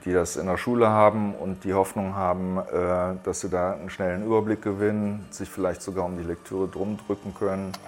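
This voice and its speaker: A man's voice: low-pitched, darkish tone. A man in his sixties from Germany, explaining things thoughtfully in an interview. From Düsseldorf, Germany. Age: 60s. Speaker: interviewee, Wikipedia editor. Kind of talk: reflective interview talk.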